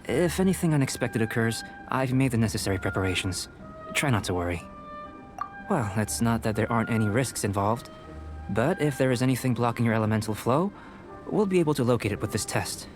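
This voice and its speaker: A reserved young alchemist from an anime game, played by a man, speaks at a middling pitch with clear music behind. The delivery is measured and composed, precise and analytical like a scholar's. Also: lively intonation, close to an everyday voice. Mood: composed, instructive.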